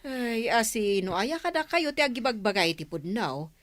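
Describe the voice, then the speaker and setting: A woman with a Filipino accent playing a fretful, doubting villager in a radio drama, expressive and argumentative. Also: a little high, normal speed.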